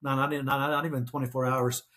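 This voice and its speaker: Hesitant Czech-born man, steady mid voice. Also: mid-range voice, fast. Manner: hesitant, many restarts and fillers. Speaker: author and guest. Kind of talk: conversational interview.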